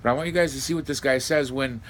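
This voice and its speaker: A man's voice: mid-range voice, fast talker, a little hiss or hum. An American man giving casual, opinionated and blunt online commentary over clips he plays. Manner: opinionated, blunt, swearing, mimics others mockingly.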